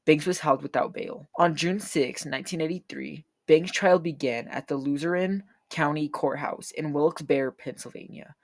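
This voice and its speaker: Young-sounding man with a gravelly voice. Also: fairly high pitch, moderate pace, few pauses, no echo. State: feels he is losing his voice and getting sick. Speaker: true crime video creator. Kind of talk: read true crime narration with a casual outro.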